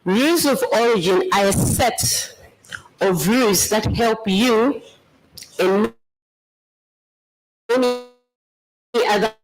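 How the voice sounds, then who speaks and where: A presenter from Zambia with a Zambian accent, giving a formal slide presentation in a measured, explanatory way. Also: medium pitch, background noise. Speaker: government trade official and presenter.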